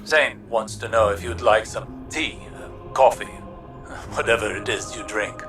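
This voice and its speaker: A man's voice: fairly low pitch, over music, close to an everyday voice. Man playing a reflective old scholar, distant. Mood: wistful. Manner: measured, literary, gentle. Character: reflective, regretful older male scholar gently admonishing a colleague. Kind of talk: scripted video game dialogue.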